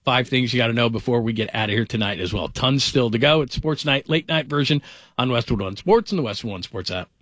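A man's voice: mid-range voice, a little fast, fairly bright tone, no room sound, clean studio sound. A man hosting late-night sports talk radio, friendly and easygoing with callers. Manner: friendly, easygoing, plugs the phone lines. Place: radio studio.

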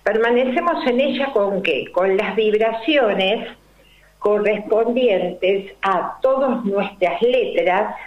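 An older woman with a Rioplatense Spanish accent, a numerology guest explaining her ideas slowly and deliberately, full of fillers and rhetorical questions. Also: medium pitch. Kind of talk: explanatory interview talk. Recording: roomy, dull lo-fi recording.

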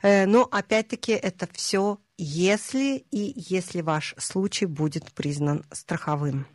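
A woman hosting a radio interview, summing up in measured, hesitant phrases with pauses. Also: fairly low pitch, dry recording, clean studio sound. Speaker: radio host.

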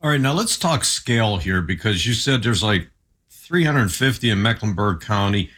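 A man's voice: on the low side, expressive, moderate pace, fairly bright tone, slightly rough. A man of around seventy hosting an interview in a casual, conversational style. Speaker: interview host.

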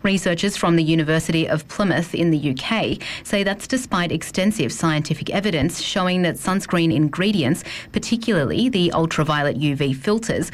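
A woman delivering a scripted radio news report in a measured, formal way. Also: low-pitched.

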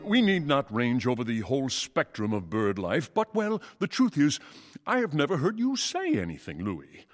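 A man's voice: medium pitch, huge pitch swings, moderate pace, dry recording, studio quality, faint music behind. An actor playing a pompous, proud father swan in a children's story, with a resonant voice and flowery, oratorical delivery. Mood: solemn coaxing.

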